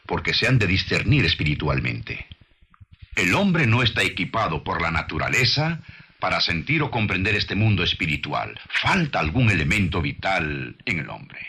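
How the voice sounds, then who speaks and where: A man delivering scripted narration in a deliberate, didactic way, with the rhetorical delivery of a preacher. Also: sits low, sharp tone, husky.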